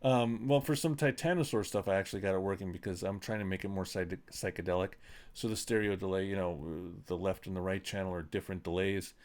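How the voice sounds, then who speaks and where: A man's voice: deep voice, fairly even intonation, fast talker, darkish tone. A middle-aged man in his home studio, talking loosely through a mixing tutorial with lots of ums and uhs. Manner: loose and rambling, many ums and uhs, self-deprecating. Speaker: musician showing his vocal mixing. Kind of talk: casual screen-recorded tutorial.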